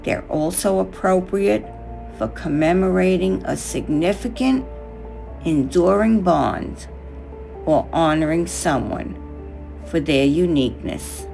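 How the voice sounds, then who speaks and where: A woman hosting a craft tutorial, narrating from a script in a measured, reflective way, in short phrases. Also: fairly low pitch, gravelly, warm tone, faint music behind.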